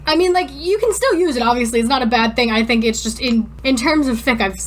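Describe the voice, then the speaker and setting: A woman's voice: on the high side, fast, slight background noise. A young woman co-hosting a podcast, chatting informally and giggly, with swearing and a casual, joking delivery. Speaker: podcast co-host. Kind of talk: casual banter and mock-dramatic reading of fan fiction.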